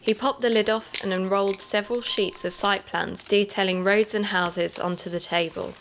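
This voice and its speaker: An actress playing a composed podcast host, narrating an investigation in a scripted audio drama. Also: female voice, medium pitch, steady tone, moderate pace, noisy background, natural voice. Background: music.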